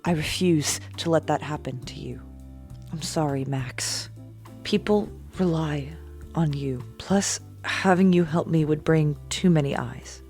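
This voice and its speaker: Woman acting a low-voiced, guarded young vigilante. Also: a little slow, darkish tone, faint music behind. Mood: firm, sorrowful. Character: guarded, weary young female vigilante superhero. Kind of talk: audio drama acting.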